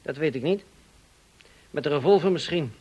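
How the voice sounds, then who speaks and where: An actor in a radio play playing a smug, insinuating blackmailer with a mocking, drawling, falsely polite manner. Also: male voice, a little high, animated, moderate pace, warm tone, slightly rough, lo-fi sound. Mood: accusing. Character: smug, insinuating male blackmailer, cousin of the dead woman.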